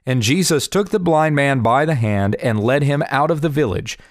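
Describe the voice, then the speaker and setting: A man in his forties, slightly hoarse from allergies, earnestly explaining Bible passages and answering viewer questions. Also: mid-range voice, lively intonation, normal speed, no room sound, clean studio sound. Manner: earnest, explanatory, reads scripture aloud. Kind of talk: casual Bible teaching video. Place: home in Kansas.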